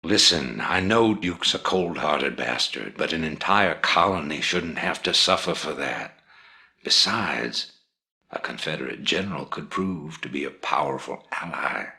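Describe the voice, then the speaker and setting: A commanding rebel leader in a sci-fi game. His voice is extremely deep yet forceful and sharp. He delivers orders in measured, oratorical phrases with calculating gravity. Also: expressive, gravelly, heavily acted. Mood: stern, persuasive. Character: commanding middle-aged male rebel leader, grave and calculating. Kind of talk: video game voice acting, military briefing.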